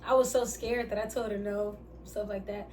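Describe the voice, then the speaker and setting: A woman's voice: medium pitch, little pitch movement, normal speed, darkish tone. A woman chatting casually during a mukbang, rambling and losing her train of thought. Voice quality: light, somewhat feminine voice. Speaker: vlogger answering couple questions. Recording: distant mic, faint hiss.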